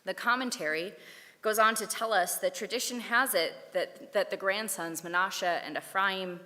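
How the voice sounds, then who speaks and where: Woman, sermon, measured delivery, crisp bright tone, long hall echo. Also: medium pitch. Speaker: speaker giving a Torah talk. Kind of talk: prepared sermon read aloud. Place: synagogue sanctuary.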